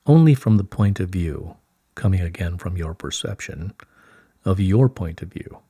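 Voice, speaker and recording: Serene deep-voiced light being, read by a man. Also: lively intonation, mellow, husky, no room sound, studio quality, heavily acted. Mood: serene, explanatory. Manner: measured, stately, archaic formal phrasing. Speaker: reader of channelled messages. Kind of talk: formal, scripted channelled-message reading.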